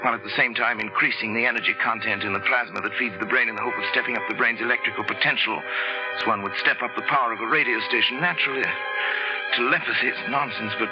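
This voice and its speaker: A man playing a driven, obsessive scientist in an intense, theatrical 1940s radio drama style with dramatic pauses. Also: mid-range voice, steady tone, loud music behind the voice, lightly acted. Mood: brooding, intent. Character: obsessive, driven male scientist keeping a brain alive. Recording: dull vintage 1940s radio recording, loud background.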